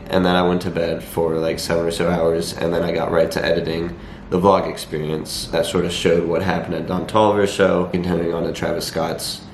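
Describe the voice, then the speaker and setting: A young man speaks in a low voice that is slightly raspy from a cold. He explains things to viewers in a matter-of-fact vlog style with little change in pitch. The room is echoey, with a faint noise behind him. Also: a little mellow. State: has a cold. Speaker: vlogger. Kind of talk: talking to camera, explanatory vlog.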